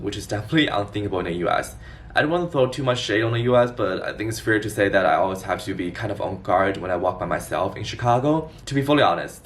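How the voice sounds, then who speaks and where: A man in his twenties from China giving a scripted explainer vlog in a clear, organized way. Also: lowish voice, distant mic, a little hiss or hum. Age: 20s. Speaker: vlogger.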